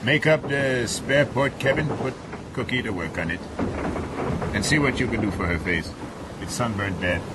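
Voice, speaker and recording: An actor playing a commanding, wry, sardonic sea captain in a radio drama. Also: male voice, mid-range voice, natural voice. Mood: brisk orders. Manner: commanding, wry, drawn-out delivery. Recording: loud wind and sea ambience.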